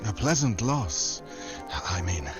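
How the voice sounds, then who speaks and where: Actor playing a suave, low-voiced secret agent. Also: male voice, very animated, slow pace, music in the background. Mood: romantic, wry. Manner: smooth, wry, theatrical. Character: suave unflappable secret agent, dryly flirtatious. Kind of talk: 1940s radio drama acting.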